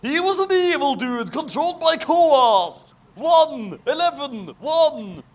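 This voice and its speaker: A man's voice: extremely high pitch, expressive, takes it slowly. A man giving a dramatic, comic reading of fan fiction, theatrical and exclamatory, with casual asides. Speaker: fan fiction reader, voice performer.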